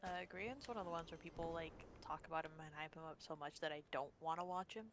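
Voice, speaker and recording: A woman's voice: low voice, fast talker, background noise. A woman casually chatting while playing a game with a friend on stream, laid-back and blunt. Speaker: co-player on stream. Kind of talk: casual chat.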